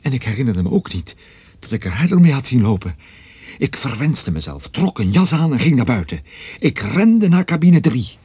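A man's voice: mid-range voice, very animated, normal speed, a little mellow, slightly rough, no room sound. An actor playing a world-weary private detective who narrates his own story in a dry, sardonic radio drama style. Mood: uneasy, urgent. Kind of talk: scripted first-person radio drama narration.

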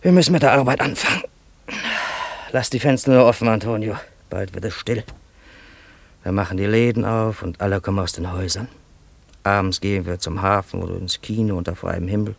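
A man's voice: lowish voice, brisk, vocal fry. An actor playing a musing, imperious man in a German radio play, dictating stories to his assistant in a theatrical, bossy, ruminative way. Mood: musing, commanding.

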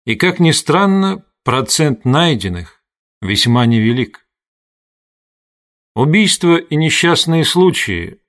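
A man with a mid-pitched voice narrates literary fiction. His delivery is scripted and deliberate, set out in measured phrases as an audiobook reader would do. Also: a bit bright.

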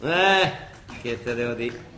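A man's voice: mid-range voice, expressive, takes it slowly, pushed and bright. A man playing a worldly, protective older monsignor with a Roman accent in a stage-style radio drama. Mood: gruff, wry. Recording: echoey stage sound with faint noise.

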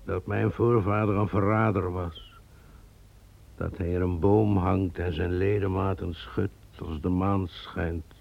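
A brooding man haunted by his traitor ancestor, voiced by an actor, with a deep, gravelly voice. He speaks slowly and solemnly, as if describing a vision. The old radio play recording sounds dull and muffled. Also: level tone, heavily acted. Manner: solemn, measured, visionary storytelling. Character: brooding male guide, descendant of a traitor.

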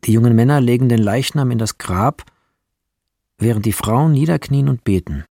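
A man's voice: mid-range voice, moderate pace, mellow, no echo, studio quality. A man narrating scripted shot descriptions in clipped, descriptive phrases. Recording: clear music behind the voice.

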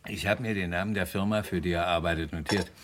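An actor playing a courteous British police inspector with dry wit in a German radio crime drama, asking questions in a measured, polite way. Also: male voice, low-pitched, steady tone, a little hiss or hum, lightly acted. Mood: businesslike.

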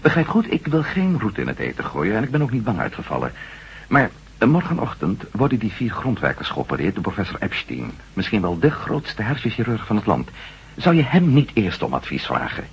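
A skeptical, earnest reporter and former astronaut, husky and very animated in pitch. He speaks in a radio play with a dull, lo-fi sound. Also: fairly quick, heavily acted. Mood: urgent warning. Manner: hesitant, doubting, then emphatic. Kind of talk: radio drama acting. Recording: dull lo-fi old radio recording.

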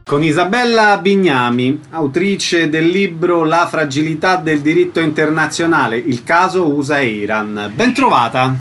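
A man's voice: fairly high pitch, expressive, unhurried, few pauses, fairly bright tone, soft background music. A man hosting book interviews, putting short prepared questions and introductions. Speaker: interviewer, host.

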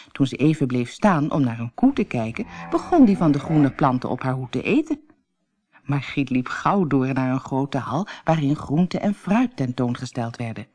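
A woman reading a scripted children's story as an expressive storybook narrator. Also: deep voice, slightly rough. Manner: expressive, acts out dialogue.

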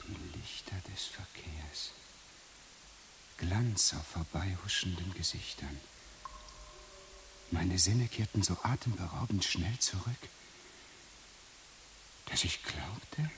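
An actor playing a dazed man in a radio play, haltingly recalling his returning senses, often dropping into a whisper. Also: deep voice, fairly bright tone. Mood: hushed, entranced. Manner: halting, repeats prompts, often drops to a whisper. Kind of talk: radio play acting, monologue. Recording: hissy early 1980s radio play recording.

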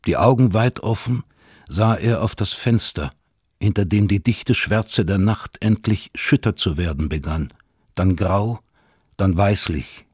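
A man narrating literary fiction for a radio play in a husky voice, with measured, sober storytelling. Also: lowish voice, darkish tone, dry recording, clean studio sound.

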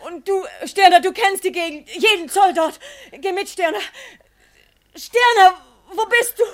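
An actress playing a distraught, alarmed farm woman, shrill and urgent, repeating herself in a German radio play. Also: measured pace. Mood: panicked, urgent.

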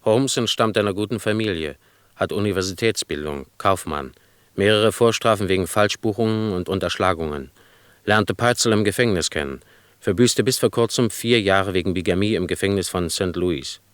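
Man as smooth, low official file reader. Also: normal speed, no room sound, natural voice. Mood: matter-of-fact. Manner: clipped, factual dossier reading. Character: dry, official male reader of case files. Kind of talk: German radio crime drama acting.

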